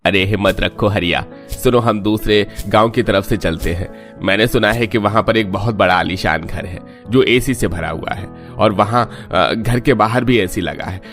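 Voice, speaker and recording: A man's voice: lowish voice, normal speed, a bit bright, soft background music. A male actor playing a poor villager who coaxes and encourages his friend in a cartoon story. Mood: encouraging. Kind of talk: Hindi cartoon story acting.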